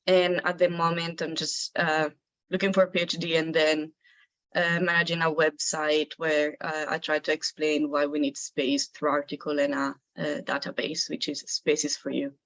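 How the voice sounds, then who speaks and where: A woman's voice: sits low, flat delivery, moderate pace, a bit bright. A woman with an Italian accent introducing herself casually on a call, with many fillers. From Italy. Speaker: archaeologist and molecular biologist. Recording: dull lo-fi call audio.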